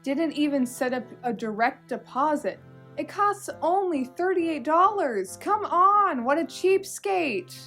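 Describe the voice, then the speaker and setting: A woman's voice: high voice, lively intonation, slow, a bit bright, soft background music, heavily acted. A woman playing a snarky, money-minded hired assassin in a comic radio play, brash and griping.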